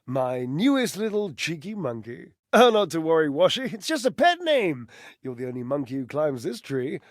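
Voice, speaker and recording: An actor playing a teasing, possessive, affectionate flirt in a video game, with cooing, playful delivery. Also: male voice, fairly high pitch, sing-song, normal speed, dry recording, studio quality.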